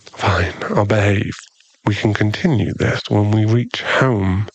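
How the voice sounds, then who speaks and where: A man playing a possessive, tender and dangerous mafia boss boyfriend in an intimate, teasing ASMR roleplay, lingering on his pauses. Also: lowish voice, husky, dry recording, highs cut off, heavily acted. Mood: mildly annoyed, teasing.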